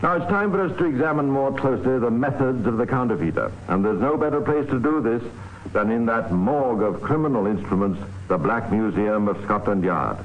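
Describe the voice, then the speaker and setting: A man's voice: medium pitch, lively intonation, brisk, natural voice. A man playing an authoritative narrator and guide in an old radio crime drama. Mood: grave storytelling. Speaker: narrator and host. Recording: dull, echoey, noisy old radio recording.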